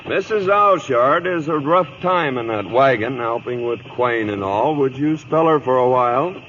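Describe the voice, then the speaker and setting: A man's voice: mid-range voice, lively intonation, moderate pace, fairly bright tone, a little hiss or hum, close to an everyday voice. An actor playing a weary, stern but fatherly aging cavalry captain in an old radio drama, terse and commanding. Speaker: lead actor and narrator. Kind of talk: 1940s-50s radio drama acting. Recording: dull old radio recording, music behind.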